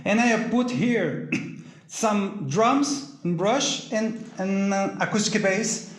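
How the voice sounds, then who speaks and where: A man speaks slowly in a fairly high voice, picking through halting non-native English. He explains things casually on a video call, and the sound is roomy and echoey. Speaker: panelist, music arranger.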